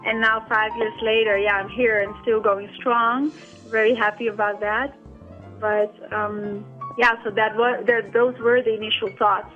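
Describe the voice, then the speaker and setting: A woman from the Netherlands speaks as a guest in a radio interview, with a fairly high voice. She talks hesitantly and fills her pauses with "um" and "yeah." The audio sounds dull, with faint music underneath. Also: level tone. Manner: hesitant, many ums and yeahs. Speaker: guest filmmaker.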